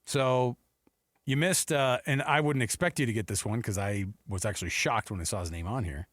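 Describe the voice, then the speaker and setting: A man's voice: mid-range voice, moderate pace, fairly bright tone, no room sound, studio quality. A man hosting a sports radio show with casual, teasing banter. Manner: playful, teasing.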